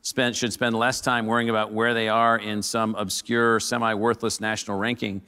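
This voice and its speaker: A man's voice: on the low side, flat delivery, normal speed, sharp tone, smooth. A man moderating a panel discussion, talking informally with short prompts and recaps. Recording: reverberant hall.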